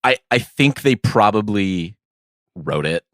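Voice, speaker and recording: Low-voiced man with lively, swooping intonation. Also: no echo, studio quality. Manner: halting, rambling, thinks aloud. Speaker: podcast co-host. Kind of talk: casual podcast banter.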